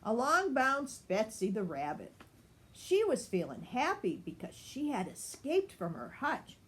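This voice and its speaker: An older woman reading a children's story aloud in an expressive, grandmotherly style. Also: a little high, a little slow.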